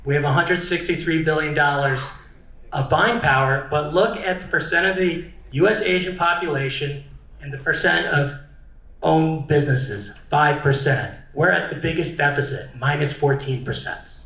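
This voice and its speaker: Gravelly man presenting stats, echoey room. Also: on the high side, normal speed, bright, forceful tone. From United States. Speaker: panel presenter. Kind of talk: presenting slides to an audience.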